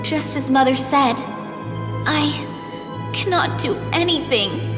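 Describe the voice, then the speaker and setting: A woman's voice: high-pitched, lively intonation, slow pace. A woman playing a young, girlish, emotional and insecure fairy queen in an anime-style video game. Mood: downcast. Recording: echoey reverberant hall, loud music behind.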